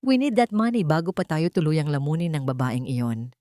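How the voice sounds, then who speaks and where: A woman acts out an older rich matriarch in an audio drama, domineering and frantic. She scolds urgently, her pitch lifted, in a dry recording. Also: very animated, moderate pace, mellow, dry recording. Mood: frantic scolding.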